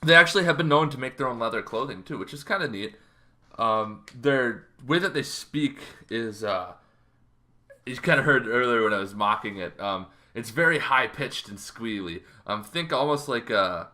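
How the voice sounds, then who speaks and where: Male host, 30s, bright forceful tone, informal conversational explainer delivery. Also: mid-range voice, lively intonation, normal speed. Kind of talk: casual explainer on tabletop game monsters. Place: bedroom, indoors.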